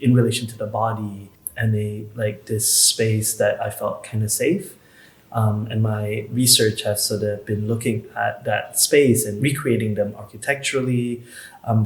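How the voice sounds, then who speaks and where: A male artist from Malaysia explaining his work in a soft, measured way with frequent ums. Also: lowish voice. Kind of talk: talking about his artwork. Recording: echoey room.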